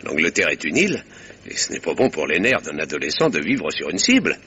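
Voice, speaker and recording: An actor playing an articulate, dryly witty country doctor in a vintage French radio mystery. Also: male voice, deep voice, sing-song, a little fast, pushed and bright, a little hiss or hum, exaggerated voice. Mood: earnest, eloquent.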